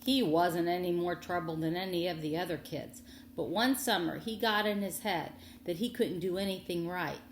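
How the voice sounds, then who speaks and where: A woman's voice: lowish voice, normal speed, echoey room, a little hiss or hum, close to an everyday voice. A woman voicing a kindly, chatty older country woman, folksy and soothing, in a storybook reading. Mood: musing.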